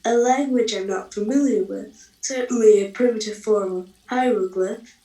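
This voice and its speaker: A woman's voice: medium pitch, moderate pace, warm tone, echoey room, natural voice, poor audio. A woman playing a genteel, curious time-travelling reporter in a radio comedy, describing things theatrically with understatement. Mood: cosy, curious. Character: breezy, posh-mannered woman time-travelling reporter, blithely curious, then alarmed. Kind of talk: radio comedy scripted narration.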